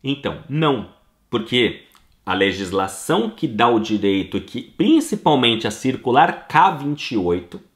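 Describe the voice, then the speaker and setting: A man's voice: mid-range voice, roomy sound. A middle-aged Brazilian man with a Brazilian Portuguese accent, answering listener questions in a casual, emphatic explanatory talk.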